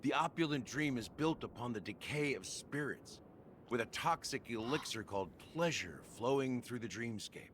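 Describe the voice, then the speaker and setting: A man voicing a sly, laid-back middle-aged man with secrets in a video game, speaking in an unhurried, theatrical, knowing way. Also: medium pitch, expressive, a bit bright, a little hiss or hum, natural voice. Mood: grave storytelling. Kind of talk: video game voice acting, dramatic exposition. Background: game music.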